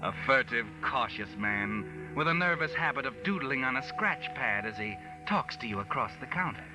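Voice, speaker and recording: A man's voice: mid-range voice, animated, normal speed, music in the background, close to an everyday voice. Ominous radio narrator played by a man, dramatic inflection. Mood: ominous, knowing. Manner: ominous, second-person storytelling. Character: sardonic, all-knowing male mystery storyteller. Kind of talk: 1940s radio acting, scripted narration.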